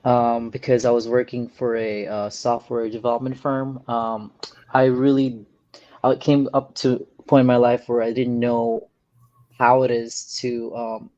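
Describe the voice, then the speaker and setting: A man from the Philippines speaks in an earnest, soft-spoken way and often pauses to gather his words. His voice is mellow and keeps a flat, even pitch. The recording is dull and dry. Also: dry recording. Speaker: guest, real estate investor. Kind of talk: casual interview chat.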